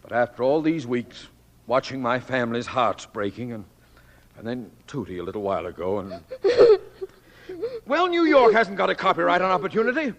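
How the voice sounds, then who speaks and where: An actor playing a blustering but soft-hearted middle-aged family father in a 1940s radio drama, in an exclamatory style. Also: medium pitch, huge pitch swings, moderate pace. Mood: tender, sheepish. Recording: old radio broadcast.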